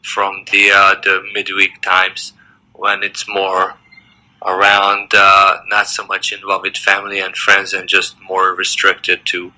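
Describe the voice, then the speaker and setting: A man's voice: low voice, completely flat delivery, slow, pushed and bright, rough recording. A man in his sixties who grew up in Hungary, answering interview questions in a thoughtful, hesitant way with many fillers and restarts. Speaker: interviewee, semi-retired dentist.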